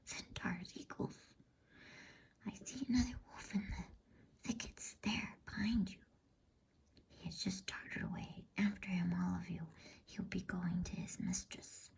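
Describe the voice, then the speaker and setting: A woman voicing a noble, commanding male lion king in a storybook, solemn and breathy in an ASMR whisper. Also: on the low side, unhurried. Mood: urgent command.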